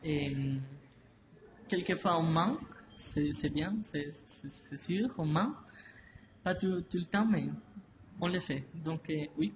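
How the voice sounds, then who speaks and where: A man answers an interviewer slowly, stopping often to restart his sentences. His voice sits a little high. Steady noise runs behind the recording. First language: Spanish. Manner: halting, many restarts and fillers. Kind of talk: slow, hesitant interview answers. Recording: noisy ambience behind voice.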